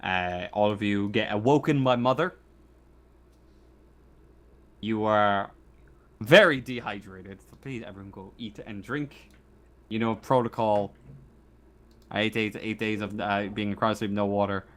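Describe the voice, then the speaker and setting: Low-voiced man running a tabletop game. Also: a little slow, pauses often, fairly bright tone. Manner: informal, conversational explaining of rules. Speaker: game master. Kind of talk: casual tabletop game narration and rulings. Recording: faint background noise.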